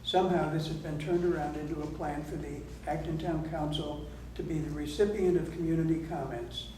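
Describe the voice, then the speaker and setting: A man reports to a public meeting as a council member, in a measured, formal manner. He sounds far from the mic in a very reverberant room, with clear background noise. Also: a little high, fairly even intonation, mellow. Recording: echoey meeting hall, distant mic, noisy.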